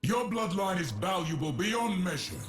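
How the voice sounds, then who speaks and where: A man's voice: high voice, expressive, normal speed, fairly bright tone. A man playing a proud ancient emperor in a video game, formal and grand, fond of his descendant in an awkward way. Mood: imperious. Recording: echoing voice over background music.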